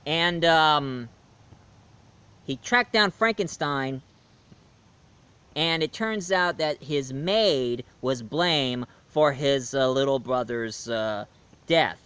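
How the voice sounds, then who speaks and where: A man's voice: a little high, fairly bright tone, clear, resonant tone. A man reviewing a movie in a casual, rambling way, with fillers and restarts. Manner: rambling, loose, with fillers and self-corrections.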